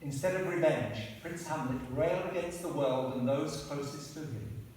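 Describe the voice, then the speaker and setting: A man's voice: a little high, normal speed, darkish tone. A man directing and narrating a radio play, flustered and exasperated. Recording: echoey hall from far off, noise.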